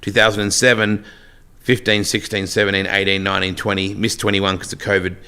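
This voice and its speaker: Bright, punchy Australian man, talking low. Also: little pitch movement, brisk. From Western Australia. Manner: chatty storyteller, joking asides. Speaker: guest, race announcer. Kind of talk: casual podcast interview.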